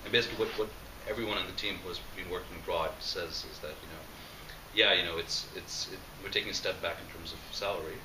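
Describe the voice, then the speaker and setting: Interview guest, man, very low voice, rapid talker, distant mic in an echoey hall. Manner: fast, animated, many restarts and fillers. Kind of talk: casual interview conversation. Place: large roomy event space. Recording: echoey room, distant mic, background noise.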